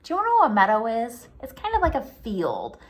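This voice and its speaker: A woman reading a picture book aloud for young children in an expressive, singsong way, pausing to ask questions like a pre-K teacher. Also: a little high.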